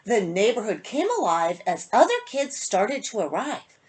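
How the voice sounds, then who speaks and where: A woman children's author with a slightly husky voice, reading a storybook aloud to young listeners and chatting to them in an animated way. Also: medium pitch, normal speed. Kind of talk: casual intro and expressive storybook reading to children.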